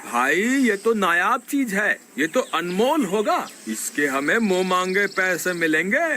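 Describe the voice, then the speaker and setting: A man's voice: sits high, big pitch swings, a little slow, noisy background. A man voicing a scheming, greedy village dog thief in a Hindi moral-story cartoon.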